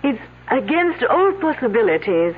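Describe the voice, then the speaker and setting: A woman's voice: on the high side, big pitch swings, slight background noise. An actress playing a young, adoring female student, speaking formally with small hesitations. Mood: demure. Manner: formal, slightly halting speech. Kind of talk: 1970s radio drama acting. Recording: tinny old radio broadcast.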